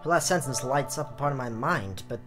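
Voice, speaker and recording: A man's voice: medium pitch, brisk. Jokey male let's-player over game music. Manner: jokey, excitable, animated. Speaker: let's play host. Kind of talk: game commentary with dramatic read-aloud.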